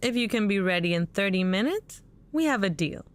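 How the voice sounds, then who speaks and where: A woman's voice: medium pitch, expressive, a little fast, a little hiss or hum, close to an everyday voice. An actress playing a protective, weary but affectionate young mother in an audio drama.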